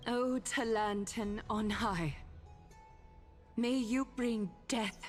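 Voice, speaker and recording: A very slow, solemn invoker. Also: female voice, fairly high pitch, fairly even intonation. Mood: grave. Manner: slow, incantatory. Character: solemn woman invoking a deity's deadly judgment. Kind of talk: dramatic scripted game dialogue. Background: background music.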